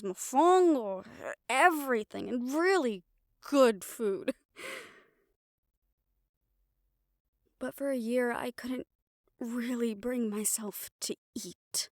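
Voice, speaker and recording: A woman playing a gentle, caring young banshee in an intimate audio roleplay, speaking softly and soothingly, with some phrases trailing into a whisper. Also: on the high side, no echo, studio quality. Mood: fond, reminiscing. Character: gentle young female banshee from Puerto Rico, caring crush. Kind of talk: intimate audio roleplay acting, one-sided conversation.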